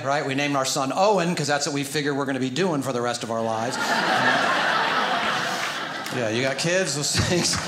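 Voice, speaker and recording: A man in his forties doing stand-up on a theater stage, his voice sitting a little high. He talks into a handheld mic, animated, sometimes jumping into loud mock yelling. The room is roomy, with clear audience laughter around him. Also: noisy background. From United States. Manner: animated, jumps into loud shouting when acting out scolding. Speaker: stand-up comedian. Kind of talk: stand-up comedy set. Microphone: handheld microphone.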